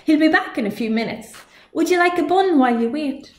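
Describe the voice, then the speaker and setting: Woman playing Una, a high-voiced, quick-witted wife. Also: expressive, a little mellow. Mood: falsely innocent. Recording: roomy echo.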